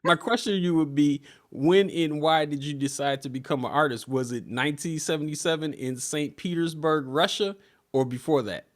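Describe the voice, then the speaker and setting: A man's voice: on the high side, normal speed. A man hosting an interview, asking questions and giving short encouraging replies. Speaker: interview host. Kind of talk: conversational interview.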